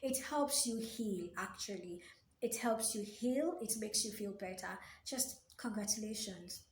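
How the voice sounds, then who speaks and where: A woman's voice: medium pitch, measured pace, roomy sound, slight background noise. A woman giving motivational workplace advice straight to the listener, earnest and fond of repeating her key phrases. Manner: addresses the listener directly with questions. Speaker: workplace coach and video host. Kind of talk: motivational advice talk to camera.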